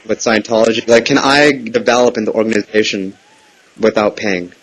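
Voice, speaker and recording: Low-voiced man, hesitant, persistent phone caller. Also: normal speed, slightly rough, poor audio. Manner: hesitant, meandering, persistently questioning. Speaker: prank caller. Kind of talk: casual phone questioning. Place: phone call.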